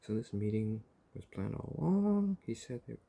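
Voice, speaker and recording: A man's voice: lowish voice, very animated, moderate pace, creaky, narrow, tinny audio, background noise. A man giving casual reaction commentary over gameplay, teasingly talking back to the characters. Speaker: gaming video creator.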